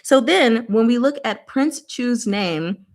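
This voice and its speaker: A woman's voice: on the high side, animated, takes it slowly. Casual, rambling woman chatting to viewers. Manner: informal, rambling, self-interrupting asides. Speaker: host of a book discussion. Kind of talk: casual live book discussion, conversational explaining.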